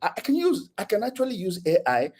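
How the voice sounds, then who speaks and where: A man's voice: high-pitched, huge pitch swings, fast, darkish tone, husky. Nigerian guy teaching a tech session on a video call, chatty, keeps saying okay. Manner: chatty, repeats words, frequent "okay?" check-ins. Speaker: guest speaker, tech community leader. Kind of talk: online tech lecture.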